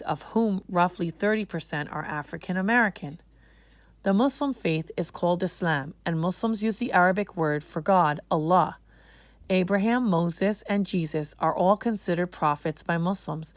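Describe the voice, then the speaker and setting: A woman's voice: on the low side, no echo. A woman reading a children's storybook aloud in a scripted narration style. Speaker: storybook reader.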